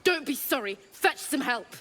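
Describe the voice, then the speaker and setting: An actress playing a grief-stricken, furious young woman in a video game, desperate, bitter and forceful. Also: high-pitched, lively intonation, normal speed. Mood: anguished, furious. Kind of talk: video game character acting.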